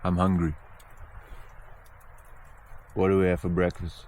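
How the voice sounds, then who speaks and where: A man chatting casually outdoors at a winter camp, often pausing mid-thought. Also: deep voice, completely flat delivery, darkish tone, a little hiss or hum. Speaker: outdoor vlogger. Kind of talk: casual camping chat.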